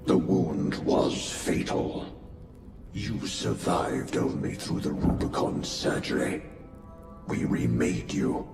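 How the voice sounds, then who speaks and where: Grim far-future warrior-priest, slow solemn delivery, creaky gravel voice, video game drama, echoey space, loud music behind. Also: male voice, rumbling low, lively intonation, heavily acted. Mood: stern, solemn. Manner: solemn, ceremonial, deliberate.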